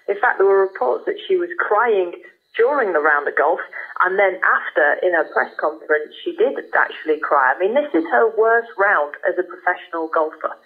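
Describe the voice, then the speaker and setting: A woman's voice: fairly low pitch, husky. A female golf broadcaster speaking conversationally as an expert guest. Her voice comes through a narrow, phone-like radio connection that sounds dull and lo-fi. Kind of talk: conversational sports punditry.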